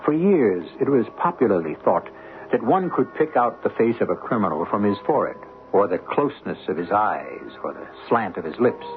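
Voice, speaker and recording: A man narrates an old radio mystery as its host. His voice is low and moves dramatically in pitch, with ironic, measured phrasing. Music plays clearly behind him on a tinny recording. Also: very animated.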